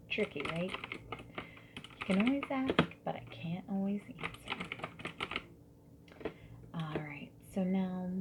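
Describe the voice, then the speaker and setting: Woman doing soft whispery asmr interviewer voice, slow n friendly, noisy lofi recording w keyboard clicks. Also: lowish voice. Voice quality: soft, breathy, often dropping to a whisper. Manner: gentle, friendly, unhurried customer-service politeness. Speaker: ASMR performer playing a survey interviewer. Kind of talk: soft-spoken ASMR roleplay. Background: mechanical keyboard typing and clicks.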